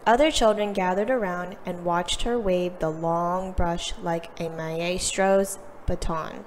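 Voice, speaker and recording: A woman's voice: fairly low pitch, slow pace, long reverb tail. Woman reading a storybook over background noise. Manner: animated delivery, acting out the characters' quoted lines. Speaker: storybook reader. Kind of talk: expressive read-aloud of a children's picture book. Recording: clearly noisy background.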